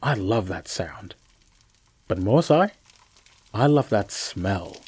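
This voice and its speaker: A man playing a chatty, bewildered narrator of an eerie first-person radio play, theatrical, with asides and dramatic pauses. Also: fairly low pitch, animated, darkish tone, breathy edge, no echo, heavily acted. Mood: wry, relaxed. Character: chatty, bewildered man telling his own eerie tale.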